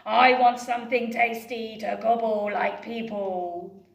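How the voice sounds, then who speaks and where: A woman voicing a hungry, greedy dragon that roars and chuckles loudly. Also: fairly high pitch, level tone, takes it slowly, vocal fry. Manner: loud, gleeful roaring. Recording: roomy, distant mic.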